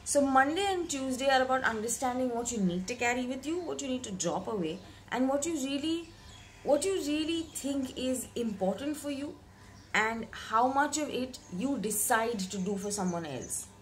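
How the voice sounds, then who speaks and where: A young woman, slightly hoarse from a cold, giving a reflective weekly tarot reading to camera in a small room, often repeating words for emphasis. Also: sits high, animated, normal speed, slight background noise, poor audio. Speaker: tarot reader. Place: bedroom with curtained window, indoors.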